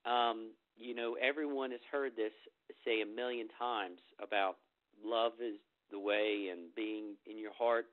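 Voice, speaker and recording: A man's voice: on the low side, fairly even intonation, unhurried. A man with a cold hosting a group phone call, talking loosely with many fillers and restarts before leading a meditation. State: getting over a cold. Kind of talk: informal spiritual talk before a meditation. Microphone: telephone. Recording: phone-line recording.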